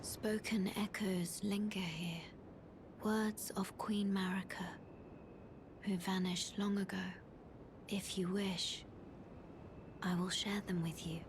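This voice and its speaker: Actress as slow, hushed, solemn maiden guide. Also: female voice, fairly even intonation, natural voice. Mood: reverent. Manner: measured, solemn, restrained. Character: solemn young maiden guide, quiet, mysterious, resolute. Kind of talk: hushed, formal fantasy game acting. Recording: loud rumbling background noise.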